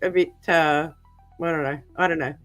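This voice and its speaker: A woman's voice: low voice, fairly even intonation, normal speed, dry recording, soft background music. A woman with an Australian accent hosting a live stream, teasing and stumbling over her words as she talks. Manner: stammering restarts, friendly and teasing. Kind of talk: casual live-stream chat.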